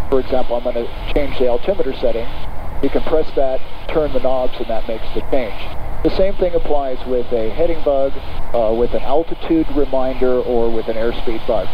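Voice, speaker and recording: A man in his fifties walks through cockpit instruments in a calm, methodical way. He talks through a headset in flight, so the sound is muffled and dull. A steady engine roar sits clearly under his voice. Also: medium pitch. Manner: technical, methodical, explanatory. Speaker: aviation magazine editor. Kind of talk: in-flight product review narration. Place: small aircraft cockpit in flight. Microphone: headset boom mic. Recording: muffled intercom audio with engine noise.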